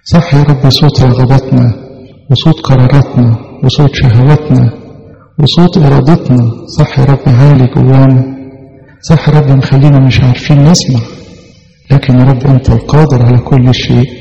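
A man leads an earnest, pleading prayer in an Egyptian accent, his voice dark and gravelly. He speaks quickly and keeps returning to his appeals to God. The sound is dull and lo-fi, with a long reverb from the hall. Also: mid-range voice. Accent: Egyptian Arabic. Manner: earnest pleading, repeating "O Lord" often. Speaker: prayer leader. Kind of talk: fervent extemporaneous prayer.

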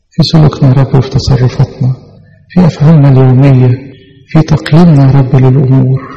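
A man's voice: mid-range voice, gravelly, mellow. A man with an Egyptian accent leading a fervent, extemporaneous prayer in a church, pleading earnestly and repeating his appeals to God. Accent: Egyptian Arabic. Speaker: prayer leader. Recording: long hall reverb, dull lo-fi sound.

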